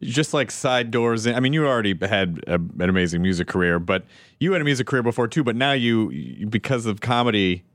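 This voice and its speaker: A man's voice: fairly low pitch, expressive, brisk, no room sound, clean studio sound. A man from Tennessee hosting a casual chat, bantering and asking questions informally. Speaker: talk show host.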